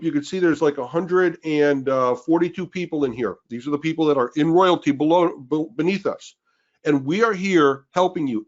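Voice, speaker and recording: A man co-hosting a webinar who is losing his voice, pushing a sales pitch and calling out names to rally listeners. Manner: pushy, rallying, calls out names. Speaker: webinar co-host. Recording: dull lo-fi webinar audio.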